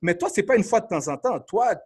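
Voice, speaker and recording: A man's voice: sits high, expressive, fairly quick, slightly rough. A man with a Quebec French accent hosting a casual panel interview, probing and rephrasing his questions as he goes. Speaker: podcast host.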